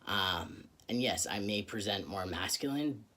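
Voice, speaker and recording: Young male vlogger, low and forceful voice, earnest and thoughtful, pauses a lot. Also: animated. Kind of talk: reflective personal vlog.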